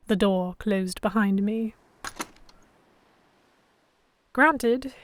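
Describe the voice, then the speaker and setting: A woman playing an anxious, indignant young captive who narrates her ordeal in expressive, first-person storytelling for an audio drama. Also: a little high, no room sound, close to an everyday voice. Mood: wry, reluctant.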